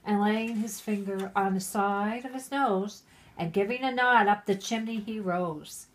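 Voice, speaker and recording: A middle-aged woman, clearly drunk, reads aloud in a casual home setting. Her pace is very slow and halting, her pitch ordinary for a woman. She stumbles, repeats phrases and drifts into chatty asides. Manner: muddled, repeating and stumbling over words. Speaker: mother reading a Christmas poem aloud.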